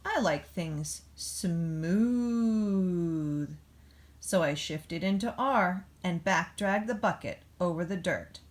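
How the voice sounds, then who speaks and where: An eager storybook backhoe loader, proud of its dirty work, as a woman reads it out. The delivery is playful and emphatic, very slow and deliberate, with words set well apart. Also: lowish voice. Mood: cheerful, boastful. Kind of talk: picture-book read-aloud.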